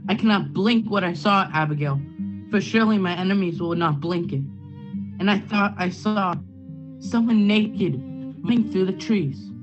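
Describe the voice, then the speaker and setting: Dull, lo-fi audio with music clearly underneath carries a man playing a middle-aged Puritan minister. He is stern yet fearful for his position, questioning urgently in formal, archaic phrases, as in a period radio drama. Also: sits high, normal speed, lightly acted. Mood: stern, anxious. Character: anxious, self-protective Puritan minister, stern uncle. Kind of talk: period stage drama acting, radio play. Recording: dull lo-fi sound with music behind.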